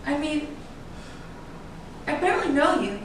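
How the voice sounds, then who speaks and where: Actress doing a guarded sarcastic woman in a stage drama, swingy pitch, far from the mic. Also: sing-song, unhurried. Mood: suspicious, curt. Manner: sarcastic, cutting, confrontational. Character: defiant, guarded actress in love with a suspected man. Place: echoey room at a staged reading. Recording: echoey room, distant mic, noisy background.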